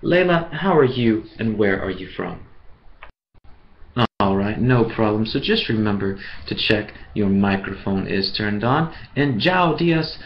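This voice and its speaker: A man from the USA teaching English in an online class, speaking slowly and clearly in an encouraging way. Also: on the low side, lively intonation, lo-fi sound.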